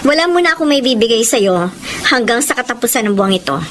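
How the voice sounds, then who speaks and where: A woman's voice: a little high, animated, fast talker, loud music behind the voice, natural voice. An actress playing a shrewd, possessive businesswoman with a firm, controlling manner in a Filipino radio drama. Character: possessive, shrewd businesswoman financing her kept lover.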